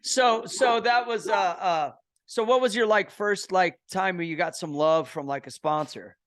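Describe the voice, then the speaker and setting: A middle-aged man from Kansas City hosting a podcast in a casual, excitable way, full of slang. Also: high-pitched, unhurried. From Kansas City, USA. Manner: excitable, slangy, says "bro" and "dude" a lot. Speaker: podcast host. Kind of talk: casual interview chat.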